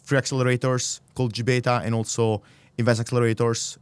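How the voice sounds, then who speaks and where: A man in his thirties with an Italian accent, a native Italian speaker, talking as a podcast guest in earnest, halting, restarted phrases. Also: mid-range voice, little pitch movement, clear, resonant tone, no room sound. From Italy. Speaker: podcast guest, startup accelerator director. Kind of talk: casual interview conversation.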